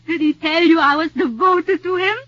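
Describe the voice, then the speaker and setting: An actress playing a bitter, secretive, scornful wife in an intense 1940s radio drama style. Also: female voice, extremely high pitch, animated, measured pace, fairly bright tone, no echo, full character voice. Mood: tense, probing. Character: bitter, defiant, secretive foreign wife turned accuser. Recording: dull 1940s radio recording.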